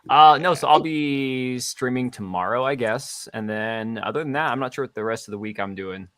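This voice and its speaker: Guy joking around on a group stream, sharp bright voice, swears a little. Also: mid-range voice, normal speed. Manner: jokey, mildly profane. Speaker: streamer, guest reader. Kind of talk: casual, joking group chat.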